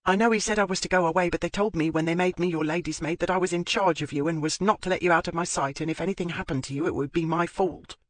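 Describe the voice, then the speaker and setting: A woman's voice: on the low side, quick pace, hardly any pauses, no room sound, pitched above the performer's own voice, close to an everyday voice. A man voicing a timid young lady's maid who apologizes and rambles in a hurry. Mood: anxious.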